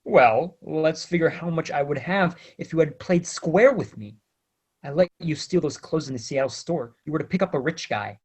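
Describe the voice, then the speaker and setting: An actor playing a smug, menacing small-time blackmailer in an old-time radio crime drama, talking in taunting slang. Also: male voice, fairly high pitch, lively intonation, moderate pace, slightly rough.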